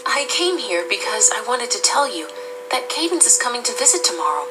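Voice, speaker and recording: A woman playing a gentle, worried elder-sister pony princess in an audio drama, earnest and caring. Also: a little high, sing-song, brisk. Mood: kindly. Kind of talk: fan audio drama acting. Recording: music behind the voice.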